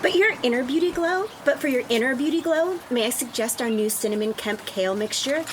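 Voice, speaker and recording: A woman's voice: high voice, fairly quick, noisy background, close to an everyday voice. A woman playing a perky, pushy millennial tanning salon receptionist in a script read-through, with a chirpy, salesy delivery.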